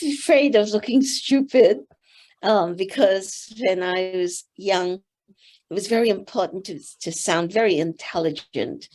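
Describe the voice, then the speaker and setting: Slow, gentle older woman. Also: on the low side. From Singapore. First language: Teochew. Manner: gentle, thoughtful, pauses with soft fillers. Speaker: interview guest, board member. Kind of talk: reflective interview answers.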